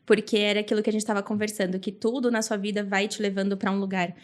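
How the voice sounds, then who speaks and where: A woman's voice: mid-range voice, flat delivery, fast talker. A Brazilian woman talking informally and chattily as a podcast guest, with a Brazilian Portuguese accent. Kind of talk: casual chat.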